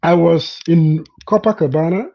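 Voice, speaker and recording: A man's voice: a little high, moderate pace, gravelly. Chatty Ghanaian man on a dull lo-fi stream. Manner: repetitive, stammers and repeats words often; keeps checking in with "you understand?". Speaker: vlogger hosting a live chat. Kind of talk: casual live-stream chat with viewers. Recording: dull lo-fi sound.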